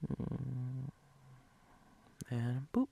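A man playing a sleepy, teasing, doting boyfriend in an ASMR role-play, husky and breathy, often dropping to a whisper in an intimate, halting way. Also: medium pitch, little pitch movement, warm tone, full character voice.

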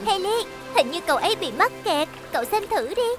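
Caring girl rescue robot, very high, lively intonation, reassuring, kids' cartoon, music behind. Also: fairly quick. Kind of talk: animated children's cartoon dub.

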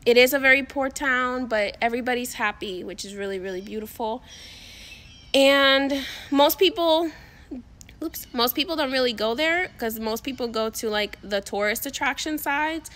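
A woman's voice: medium pitch, pushed and bright. A young woman, talking casually to her phone camera outdoors, rambling with lots of fillers and restarts. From Dominican Republic. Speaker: spiritual coach vlogger. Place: backyard outdoors. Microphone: phone at arm's length.

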